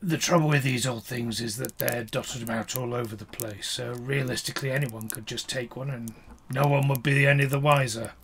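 A man's voice: mid-range voice, steady tone, normal speed, hardly any pauses, a bit bright, slight background noise, close to an everyday voice. An actor playing a polite but evasive male archaeologist in an audio drama. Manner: polite, hesitant, faintly evasive. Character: genial middle-aged male archaeologist, evasive. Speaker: academic.

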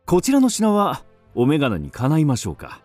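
An unctuous antique dealer in a radio drama, fairly high for a male voice and swooping in pitch. His speech is florid, flattering and deferential. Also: moderate pace, faint music behind. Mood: fawning.

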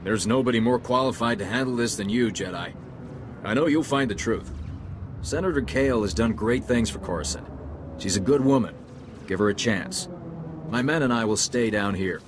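A man's voice: medium pitch, moderate pace, natural voice. A man playing a plain-spoken, loyal security officer in a video game, blunt and informal. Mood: wary, earnest. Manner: blunt, informal, matter-of-fact. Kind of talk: video game cutscene acting. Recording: game cutscene with clear ambient noise.

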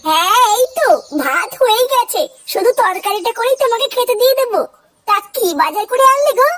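A performer voicing a scornful, sharp-tongued old wife who scolds and swears in a comedy cartoon. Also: very high voice, a little fast, soft background music. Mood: brisk. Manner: scolding, foul-mouthed.

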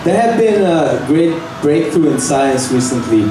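Deadpan young man on an echoey bar stage. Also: fairly high pitch. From Algeria. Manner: deadpan, halting with fillers, breaks into nervous laughs. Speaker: stand-up comic. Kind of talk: open mic stand-up set. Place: small bar stage indoors. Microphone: handheld mic, filmed from far camera. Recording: echoey room from far off, noisy.

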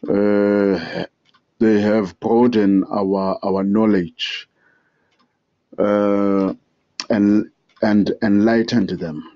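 A South African man with a South African accent, leaving an earnest voicemail with many hesitant pauses. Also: lowish voice, steady tone, warm tone. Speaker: voicemail caller. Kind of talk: phone voicemail. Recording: muffled voicemail phone line.

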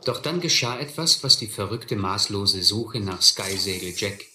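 Reflective ex-hobo narrating his youth, lowish but very sharp bright voice, roomy. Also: lively intonation, moderate pace, slight background noise. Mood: reflective, grave. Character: reflective adult male narrator recalling his vagabond youth. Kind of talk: scripted first-person narration in a radio drama.

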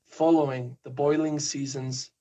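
A man from Spain, a guest poet chatting calmly and reading his poem slowly on a video call from a back room. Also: medium pitch. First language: Spanish. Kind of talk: casual chat and poetry reading.

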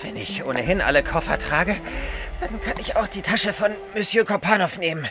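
A man's voice: high voice, animated, normal speed. An actor playing a timid, put-upon servant in an audio drama, flustered and nervous in his speech. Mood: flustered, aggrieved. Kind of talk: German radio play acting.

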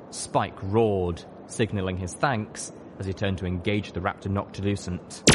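A man's voice: low-pitched, noisy background. A man reading fiction aloud as an audiobook narrator, in a dramatic scripted style.

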